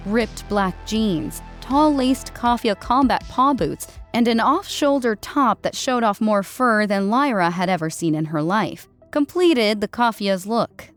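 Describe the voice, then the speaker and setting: A woman reading scripted fiction as an audiobook narrator. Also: mid-range voice. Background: background music.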